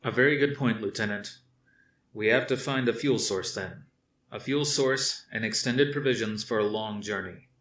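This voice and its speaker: A man reading aloud, voicing a stern older fleet commander who speaks slowly and firmly to his officers. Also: lowish voice, fairly bright tone, reverberant, recorded from a distance, a little hiss or hum, close to an everyday voice. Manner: slow, measured, commanding.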